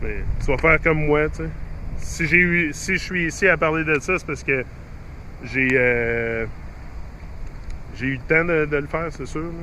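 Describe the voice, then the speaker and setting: A man's voice: a little high, bright, forceful tone, a little hiss or hum. A middle-aged man with a Québécois French accent, talking to his YouTube viewers in a rambling, chatty way with many fillers and restarts. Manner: rambling, chatty, frequent fillers and restarts, mixes in English phrases. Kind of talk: rambling casual monologue to viewers.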